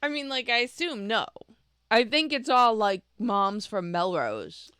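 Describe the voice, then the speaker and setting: A woman's voice: a little high, expressive, unhurried, pushed and bright, clear, resonant tone, no echo. A woman in her forties co-hosting a podcast, chatting with dry, wry remarks. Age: 40s. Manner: dry, wry, agreeable interjections. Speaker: podcast co-host. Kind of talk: casual chat.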